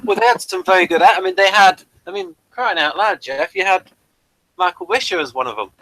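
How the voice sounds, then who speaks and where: Bright-voiced man chatting casually. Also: high voice, animated, fairly quick, rough recording. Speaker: co-host of a sci-fi TV episode review. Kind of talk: casual chat reviewing slides.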